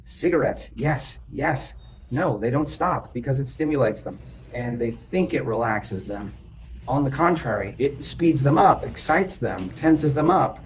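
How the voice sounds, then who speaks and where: A man's voice: mid-range voice, animated, measured pace, slight background noise, poor audio. A man speaking as a therapist in a therapy session, insistent and repetitive, often checking whether his listeners understand. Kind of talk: group therapy session talk.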